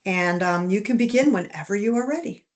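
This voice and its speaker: A woman hosting an online pitch meeting, welcoming panelists in an upbeat manner. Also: expressive, brisk. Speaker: meeting host.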